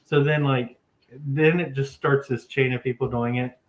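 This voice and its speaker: A man's voice: medium pitch, fairly even intonation, normal speed, dull audio. An American man with an easygoing manner, chatting casually and reading viewer comments aloud as a livestream co-host. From Ohio, United States.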